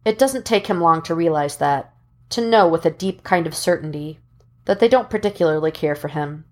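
A woman narrating fan fiction as an audiobook reader, in measured, deliberate phrases with dramatic pauses. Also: low-pitched.

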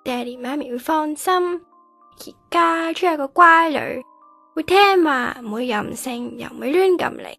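High-voiced, sensitive teenage daughter. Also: female voice, unhurried, slightly rough, faint music behind, heavily acted. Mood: bitter, hurt. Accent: Hong Kong Cantonese. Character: self-deprecating nineteen-year-old daughter, sensitive and dramatic. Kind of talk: radio drama acting.

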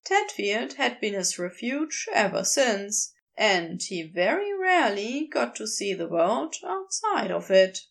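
Female storybook narrator for romantic fiction; slightly slow pace, plenty of vocal fry. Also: medium pitch, big pitch swings. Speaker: podfic narrator reading fan fiction aloud.

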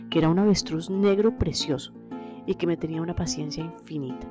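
A middle-aged woman with a Colombian accent hosting a podcast alone, reading a scripted personal narration. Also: fairly low pitch. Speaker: podcast host.

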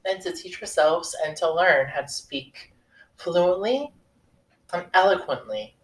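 A man's voice: high voice, a little slow, fairly bright tone, reverberant, poor audio. A young man co-hosting a podcast in casual, joking chat. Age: young adult. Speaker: podcast co-host.

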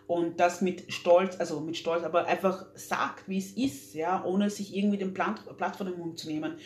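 A woman's voice: on the low side, steady tone, normal speed, a little mellow. Earnest woman in echoey room. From Austria. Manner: earnest, frequent fillers and restarts. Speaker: interview guest. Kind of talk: casual interview talk. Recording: echoey room, faint hum.

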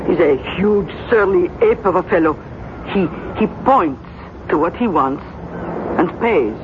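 An actress playing a gossipy, chatty French fishmonger in a 1970s radio drama, with a folksy, conspiratorial manner. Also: female voice, on the low side, animated, unhurried. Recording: noisy, muffled 1970s radio broadcast.

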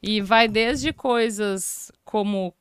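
A Brazilian woman chats as a podcast guest, cutting in with quick jokes and laughs. Her voice is smooth and clear. The sound is dry and close to the mic. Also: mid-range voice, no echo. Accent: Brazilian Portuguese. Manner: chatty, laughs easily, jumps in with quips. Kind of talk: casual chat.

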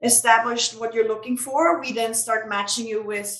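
A woman's voice: on the high side, fairly even intonation, moderate pace, a bit bright. A woman from Austria, a native German speaker, giving casual, explanatory interview answers as a business founder, peppered with "you know". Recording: roomy, echoey sound.

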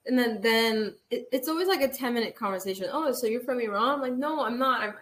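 A woman's voice: on the high side, fast talker, a bit bright. Casual podcast guest, young woman, talkative and laughing, trips over her words a bit. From Los Angeles, USA. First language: Armenian. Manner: chatty, laughs often, hesitant restarts. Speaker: podcast guest, beauty content creator. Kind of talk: casual chat.

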